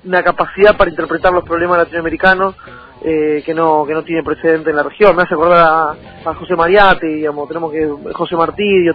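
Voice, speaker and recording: A man with a Rioplatense Argentine accent talking politics as a radio interview guest, emphatic and repetitive, with halting phrasing. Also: fairly high pitch. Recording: tinny phone-like sound over music.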